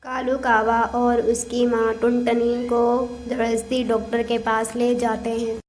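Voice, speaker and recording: A woman's voice: on the high side, completely flat delivery, a little slow, darkish tone, natural voice. A woman giving scripted narration for a cartoon story.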